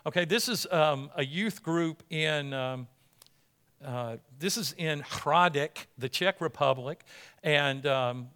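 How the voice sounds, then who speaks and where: A male pastor delivers an informal sermon in a fairly high, strongly bright voice. He talks conversationally yet with emphatic urging, often restating a phrase as he goes. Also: takes it slowly, smooth. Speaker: pastor preaching to a congregation. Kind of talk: informal sermon with missions slideshow.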